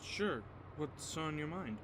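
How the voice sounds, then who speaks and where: A man's voice: a little high, expressive, takes it slowly, noisy background. A man playing a mild-mannered, awkward young hero with a hesitant manner in a radio drama. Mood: polite. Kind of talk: fan radio drama acting. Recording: loud background music.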